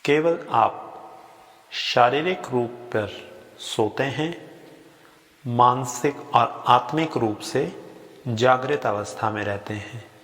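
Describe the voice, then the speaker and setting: A man guiding a sleep meditation with gentle, unhurried instructions in short phrases with long pauses between them. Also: mid-range voice. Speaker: yoga nidra guide. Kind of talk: guided sleep meditation, slow spoken instructions. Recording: long reverb on the voice.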